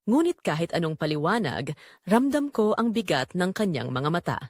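A woman's voice: fairly low pitch, sing-song, normal speed, darkish tone, no echo, studio quality. A woman playing a guilt-ridden married mother confessing a secret affair, narrating in the first person in an emotive, confessional manner. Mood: guilty, troubled.

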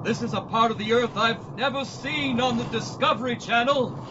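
An actor playing an earnest, naive and dim-witted space hero in a parody pulp radio serial. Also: male voice, extremely high pitch, normal speed, noisy background. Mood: earnest wonder. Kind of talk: pulp audio drama parody acting. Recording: dull lo-fi audio under loud music.

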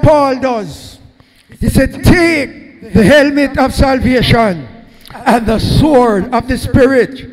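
A middle-aged Jamaican man preaching a fiery sermon in a church hall, shouting and calling out hallelujahs into a handheld mic. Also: very high-pitched. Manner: shouting, peppered with hallelujahs, gesturing at a pulpit. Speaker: pastor. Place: large church hall with red curtains.

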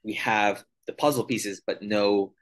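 A man's voice: lowish voice, steady tone, a little slow, low-quality recording. A man in his thirties co-hosting a casual podcast over a video call, thinking aloud and reaching for analogies. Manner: thoughtful, searching for words, uses analogies. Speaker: podcast co-host.

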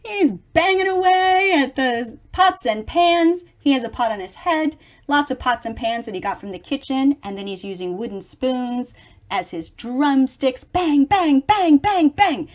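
A woman's voice: high-pitched, animated, unhurried. A middle-aged woman reading a picture book aloud expressively, theatrically stretching words and adding chatty asides for young listeners. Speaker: children's storybook reader.

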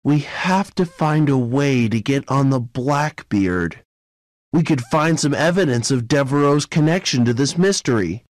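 A man playing a keen young amateur sleuth who takes charge, in deliberate storybook dialogue. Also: mid-range voice, expressive, dry recording, close to an everyday voice. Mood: planning, decisive. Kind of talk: scripted audiobook dialogue.

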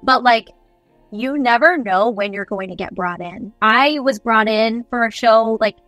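A woman's voice: fairly high pitch, measured pace, a bit bright, no echo, faint music behind. A young adult woman chatting enthusiastically and casually as an interview guest, giving advice on a video call. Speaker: guest voice actor. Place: small indoor room, on a video call.

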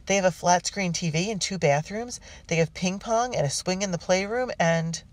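A woman's voice: on the low side, normal speed, no room sound, pitched above the performer's own voice, natural voice. A woman voicing an eager, boastful young girl who chatters on in an audiobook. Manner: eager, blurting.

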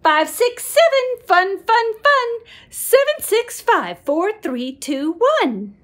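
Middle-aged female storyteller for a kids' picture book, very animated range, unhurried and sing-song. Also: very high-pitched. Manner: expressive, sing-song, slow, with whispered asides. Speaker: children's book reader. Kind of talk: animated read-aloud of a picture book for young children. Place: small room at home.

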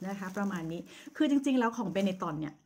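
A woman in her thirties from Thailand chatting to viewers in a casual haul vlog, recorded on a phone in a small bright room. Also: mid-range voice, lively intonation, a little fast. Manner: chatty and friendly, addresses viewers often. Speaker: vlogger.

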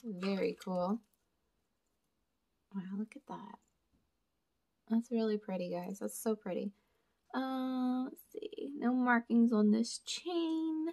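Chatty woman with a creaky voice. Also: fairly high pitch, takes it slowly, pauses often, dark tone. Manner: chatty, thinking aloud, talks to viewers. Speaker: storage unit reseller and vlogger. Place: indoors at a table. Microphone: camera over a table, hands only in frame.

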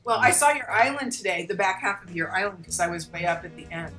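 A woman chatting on a video call panel, lively and argumentative. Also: on the low side, quick pace, reverberant, faint music behind. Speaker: panel guest. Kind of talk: casual chat.

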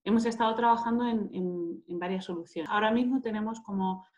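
A woman's voice: medium pitch, a little fast, a little mellow, muffled recording. A woman in her thirties from Madrid, with a Spain Spanish accent, answering interview questions conversationally on a video call. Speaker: interviewee, market manager and scientist. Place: indoors, plain-walled room, video call. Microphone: earphone headset on video call.

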